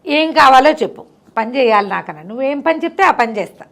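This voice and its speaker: A woman plays a huge demon servant from a bedtime folk tale. He is blunt and demanding, always eager for the next job, in a high-pitched voice with a creaky edge. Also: animated, fairly quick. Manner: blunt, demanding, eager to report work done. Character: huge fearsome brahmarakshasa demon, eager tireless male servant.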